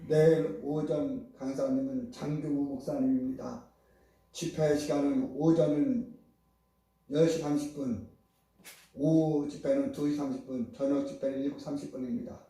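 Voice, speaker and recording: A man's voice: mid-range voice, steady tone, moderate pace, warm tone. A man hosting a church revival meeting, leading prayer and making service announcements. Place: large reverberant indoor church hall. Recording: very echoey church hall from far off.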